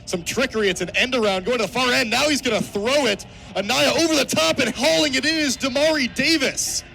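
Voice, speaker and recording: Young American male sports announcer, high voice, energetic football radio call, noisy outdoor stadium behind. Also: a little fast. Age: 20s. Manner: energetic, vivid, builds to excited shouts on big plays. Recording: outdoor stadium ambience, clear background noise. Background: stadium noise.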